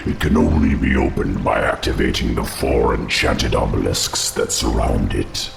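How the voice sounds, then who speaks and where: An actor playing an ancient, giant undead insect king in a fantasy game, grave and formal, with a hollow, gravelly monster voice. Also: very deep voice, slight background noise, full character voice. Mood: grave, advising. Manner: slow, solemn, formal. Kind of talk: fantasy video game acting. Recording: echoing voice under loud music.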